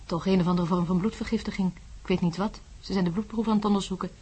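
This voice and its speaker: An actress as a brisk, down-to-earth wife in a radio play. Her voice is a middle-pitched woman's, conversational and practical. There is faint noise behind her. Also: steady tone, warm tone, lightly acted. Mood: relieved, concerned. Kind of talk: radio drama acting.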